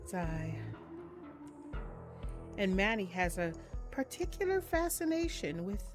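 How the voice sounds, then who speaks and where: A woman's voice: medium pitch, big pitch swings, slow pace. A woman doing a casual let's-play, reading on-screen game text aloud with chatty murmured asides, over game music. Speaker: video game streamer. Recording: music playing behind the voice.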